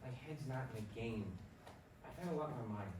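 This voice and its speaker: A man's voice: mid-range voice, brisk, natural voice. A man playing an anxious, obsessive young ballplayer and expectant father in a staged play reading. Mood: downcast. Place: stage in a theatre hall. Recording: distant, echoey stage recording, clear noise.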